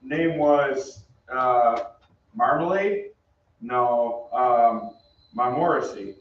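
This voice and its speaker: A man's voice: mid-range voice, extremely slow. A man playing a brash young American soldier in an old-time radio play, with breezy, slangy delivery. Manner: breezy, slangy, hesitant in bursts. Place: theatre stage. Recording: very echoey stage, distant mic, muffled.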